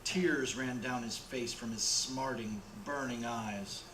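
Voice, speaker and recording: A man's voice: medium pitch, slow pace. A man narrating a radio play in a dramatic storytelling style. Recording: roomy with clear background noise.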